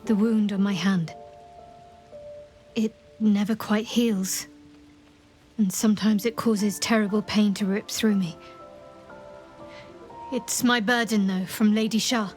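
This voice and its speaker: A woman playing a guarded, secretive young cleric in a video game, speaking in a reserved, measured, dryly wry way. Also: medium pitch, fairly even intonation, darkish tone, faint music behind, natural voice. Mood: solemn. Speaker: video game companion character. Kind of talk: dramatic game dialogue.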